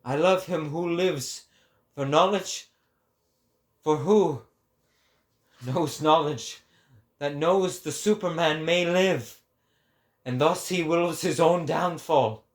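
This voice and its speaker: A man performs an impassioned prophet in a dramatic reading. His voice sits fairly high for a man and moves very slowly. He declaims with grandiose, deliberate weight, as if preaching to a crowd. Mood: reverent, fervent.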